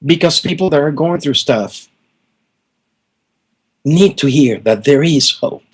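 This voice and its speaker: A man's voice: on the high side, lively intonation, slow pace, slightly rough. A middle-aged man from Venezuela, a guest musician answering interview questions in an earnest, emphatic way, repeating words for stress.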